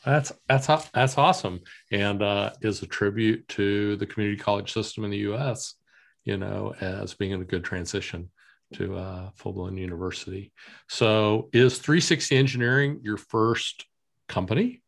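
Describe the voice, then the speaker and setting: Host, man with a light Texas accent, lowish voice, upbeat and affirming. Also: moderate pace, gravelly. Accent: slight Texas. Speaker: podcast host. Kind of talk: casual interview chat.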